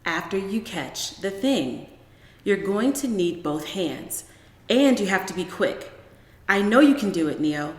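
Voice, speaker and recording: A woman's voice: medium pitch, big pitch swings, unhurried. A woman voicing an anxious, bossy young mother who urgently orders and pleads with her son in a storybook reading. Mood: strained, coaxing. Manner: urgent, insistent, commanding. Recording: echoey, reverberant room.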